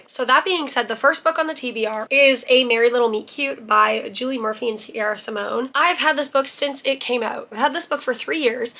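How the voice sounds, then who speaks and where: A young woman from Canada, hoarse and a little rusty from laryngitis, chatting casually to camera as a book vlogger, self-deprecating and full of asides. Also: fairly high pitch, sharp tone.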